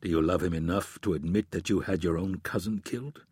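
A man voicing a cold, controlled male assassin who speaks low and quietly with menace. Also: fairly quick, husky, no echo, clean studio sound.

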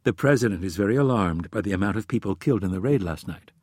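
A man's voice: on the low side, expressive, quick pace, a little mellow, slightly rough, dry recording, studio quality. A man voicing a composed, shrewd female American intelligence director in an audiobook, with a neutral, controlled manner. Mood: measured.

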